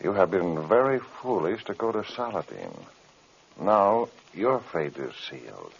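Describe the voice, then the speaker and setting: Casting: a flustered airline boss in vintage radio drama; low, husky, halting, businesslike; muffled old recording. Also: male voice, very animated, exaggerated voice. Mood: grim reading. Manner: hesitant, halting businesslike talk. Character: anxious, flustered male airline executive.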